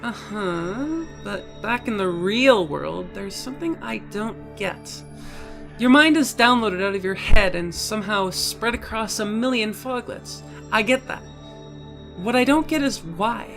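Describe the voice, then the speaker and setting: A woman's voice: medium pitch, expressive, measured pace, creaky, fairly bright tone, soft background music. An actress playing a skeptical, reluctant female assistant in a voiced comic, guarded, hesitant and blunt. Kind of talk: voiced comic audio drama.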